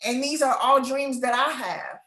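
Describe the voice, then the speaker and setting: A woman's voice: high voice, expressive, bright, forceful tone. Heartfelt woman in an echoey room. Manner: heartfelt, halting. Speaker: host and writing coach. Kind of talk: casual conversation.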